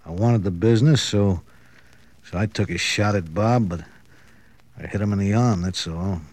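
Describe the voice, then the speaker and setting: A man plays a bitter, lovesick drunk in a classic radio crime drama. The voice is low and mellow, rambling along with slurred, maudlin self-pity. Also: moderate pace, no echo, lightly acted. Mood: agitated confession. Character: boozy, lovesick, bitter washed-up nightclub co-owner.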